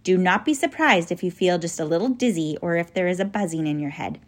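Storybook role: kindly professor, grave and earnest, measured guide to children played by a female reader. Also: on the low side, natural voice. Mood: calm, instructing.

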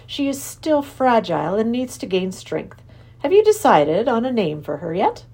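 A woman voicing a kindly, calm professor who talks in a gentle, measured, earnest way. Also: a little high, slightly rough, exaggerated voice. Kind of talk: storybook reading.

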